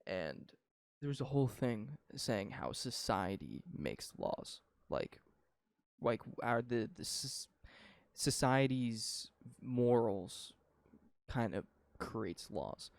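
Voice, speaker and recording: Young male podcast co-host, gravelly, lively, swears a lot, dry close mic. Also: mid-range voice, slow pace, no echo. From Canada. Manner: profane, rambling, animated. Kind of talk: casual opinion chat.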